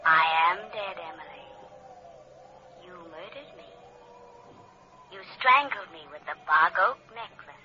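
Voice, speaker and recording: An actress voicing the accusing, vengeful ghost of a murdered young woman, with haunting, drawn-out taunts in an old radio drama. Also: on the low side, expressive. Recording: muffled old radio recording with music.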